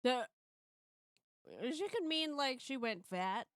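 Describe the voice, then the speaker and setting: Slow-paced woman hosting a stream, slightly high. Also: expressive, clean tone, no echo, clean studio sound. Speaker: host of the livestream. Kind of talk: casual visual novel stream chat and reading.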